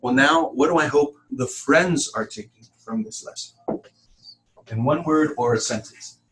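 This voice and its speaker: A man teaching an informal spiritual class to a small group, talking in a halting, conversational way. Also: a little high. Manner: halting, conversational, addresses listeners as friends. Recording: distant room mic with background noise.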